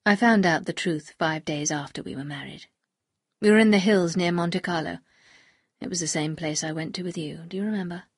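Lady doing a bitter anguished husband for an audiobook, low n husky, grave, like he's confessing. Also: a little fast, dry recording, clean studio sound. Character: bitter, anguished upper-class husband confessing dark secrets. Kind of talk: audiobook character dialogue.

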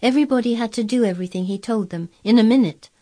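A woman's voice: on the high side, fast, warm tone, husky, voice pushed up. A woman voicing a frank, strong-willed, earnest young girl in storybook dialogue. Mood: frank, thoughtful. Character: frank, strong-willed, curious ten-year-old girl.

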